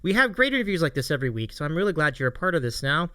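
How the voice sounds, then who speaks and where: A man hosts a casual streaming interview with a lively, swooping delivery and a slightly high voice. His tone is clean and smooth, and he speaks in an appreciative, encouraging manner. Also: very fast, no echo, studio quality. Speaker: host of a streaming interview show. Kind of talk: casual livestream interview chat.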